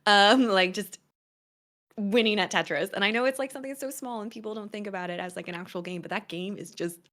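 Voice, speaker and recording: A woman chatting as a guest streamer, animated and quick to laugh. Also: medium pitch. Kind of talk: casual chat.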